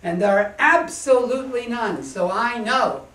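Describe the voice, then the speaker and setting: A man's voice: very high voice, a little slow, pushed and bright, voice pushed up, full character voice. A man voicing an innocent, earnest little girl in a simple, trusting manner. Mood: stubborn, certain. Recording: echoey room, distant microphone.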